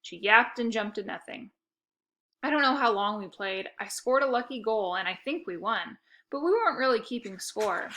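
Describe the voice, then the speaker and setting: A woman narrates a children's book in a bright, forward voice that sits a little high. Her storytelling is expressive and animated, made for reading aloud. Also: moderate pace. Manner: animated, acts out dialogue.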